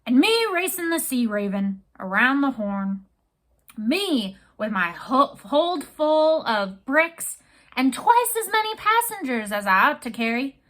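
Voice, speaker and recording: Roaring grumpy old sea captain guy, as a lady reading aloud puts him on, loud and pitched up, booming. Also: exaggerated voice. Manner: roaring, bellowing. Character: gruff blustering old male ship captain.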